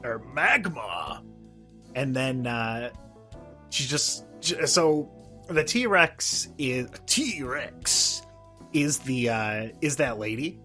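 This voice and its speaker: A man with a mid-pitched voice chats as a podcast co-host. He rambles and stumbles through a recap, swearing and wry, with faint music playing behind him. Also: expressive, extremely slow, bright, forceful tone. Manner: profane, sardonic descriptions. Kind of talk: casual episode recap chat.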